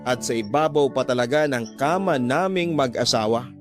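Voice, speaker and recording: A man's voice: medium pitch, normal speed, close to an everyday voice. A man playing a betrayed husband in a radio drama, hurt and earnest as he emphatically pleads his side. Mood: hurt, bitter. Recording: music bed behind the voice.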